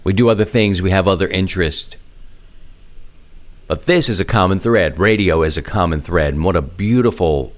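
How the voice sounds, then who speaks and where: Low-pitched man hosting a podcast. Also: moderate pace. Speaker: podcast host. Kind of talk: reflective podcast monologue and sponsor read.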